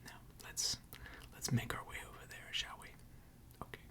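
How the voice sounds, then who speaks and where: A person in an ASMR roleplay voicing a gentle, attentive mechanic who tends robots, speaking formally and deliberately in a soft, breathy whisper. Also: close to an everyday voice. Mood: soothing, attentive. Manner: slow, deliberate, soothing, formal.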